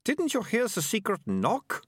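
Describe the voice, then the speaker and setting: A man's voice: a little high, wildly swooping pitch, fairly bright tone, slightly rough, no room sound, clean studio sound, pitched above the performer's own voice, heavily acted. A man voicing a bossy, dramatic young girl in a storybook, insistent and very sure of herself. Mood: annoyed, suspicious. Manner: insistent, brisk, self-assured.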